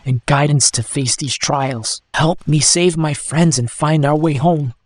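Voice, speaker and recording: A man's voice: on the high side, measured pace, dry recording, clean studio sound, voice pushed up. A man voicing an anxious, earnest young hero in a fantasy story, speaking in a pleading, prayerful way. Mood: sorrowful pleading.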